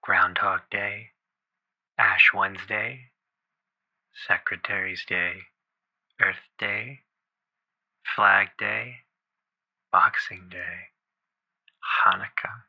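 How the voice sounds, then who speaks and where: A creepy, raspy, cryptic killer. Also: male voice, low-pitched, fairly even intonation, no room sound, highs cut off, exaggerated voice. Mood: obsessive reciting. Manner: deliberate, eerie reciting, breathy. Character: creepy, cryptic male killer-informant obsessed with holidays. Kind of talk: dramatized comic book villain acting.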